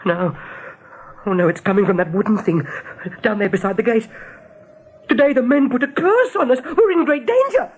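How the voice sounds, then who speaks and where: A woman's voice: fairly high pitch, lively intonation, normal speed, pauses often, slightly rough. A woman playing a small, young, fearful runt rabbit who sees visions, speaking with trembling urgency in a radio drama. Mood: grave, insistent. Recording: noisy old radio play recording.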